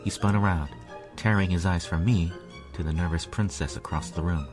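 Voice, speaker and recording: A man narrating a story in the first person with a measured, earnest, dramatic delivery. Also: deep voice, darkish tone, faint music behind.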